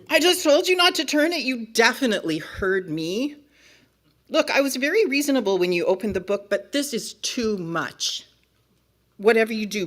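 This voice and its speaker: A woman's voice: high voice, a bit bright, pitched above the performer's own voice. A woman reading a picture book aloud as a frantic, bossy narrator who scolds and begs the reader in an exasperated, melodramatic way. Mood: exasperated, scolding, then pleading, stern. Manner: exasperated, melodramatic, emphatic pleading with drawn-out warnings. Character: frantic, bossy, pleading storybook narrator begging reader to stop. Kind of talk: dramatic children's picture-book read-aloud.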